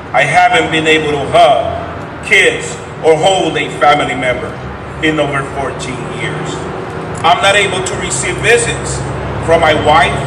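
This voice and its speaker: A man giving a dramatic reading as a despairing middle-aged prisoner, with grave, measured phrasing. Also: a little high, lightly acted. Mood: bleak, weary. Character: despairing man of forty-three imprisoned in solitary confinement. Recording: echoey, noisy room from far off.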